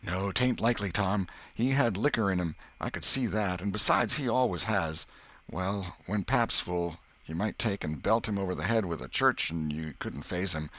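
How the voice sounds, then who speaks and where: A man's voice: deep voice, moderate pace, fairly bright tone. A man voicing a worldly, wary village boy in an audiobook, with blunt, slangy, opinionated rustic speech. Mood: reasoning.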